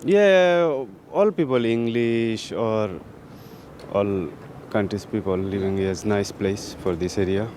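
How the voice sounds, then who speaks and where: A man's voice: on the low side, slow, darkish tone, slight background noise. A man from Bangladesh, giving short hesitant answers in broken English. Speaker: street interviewee. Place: outdoors on a city street.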